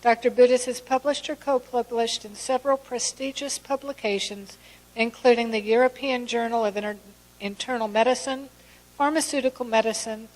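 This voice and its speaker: A woman hosting an event, reading a speaker's introduction in a formal, measured way. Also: on the high side, darkish tone. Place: conference hall. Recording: long reverb of a hall.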